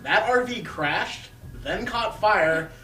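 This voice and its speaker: A man reads comedic dialogue live in a high, very expressive voice at an unhurried pace. The sound is roomy and off-mic, with music in the background. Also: a little hiss or hum. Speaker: table read performer. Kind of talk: live comedic script reading. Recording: echoey room, distant mic, music behind.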